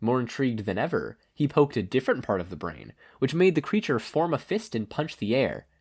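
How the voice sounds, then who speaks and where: A man's voice: mid-range voice, big pitch swings, a little fast, a little mellow, breathy edge. A man reading a story aloud in a scripted narration style. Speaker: podfic reader.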